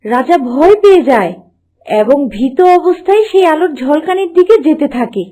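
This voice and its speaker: A woman storyteller reading scripted fairy-tale narration in an expressive storybook manner. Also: sits high, normal speed.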